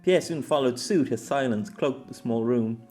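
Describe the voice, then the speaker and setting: A man's voice: medium pitch, moderate pace, a little mellow, faint music behind. A man reading scripted story narration as an amateur audiobook narrator.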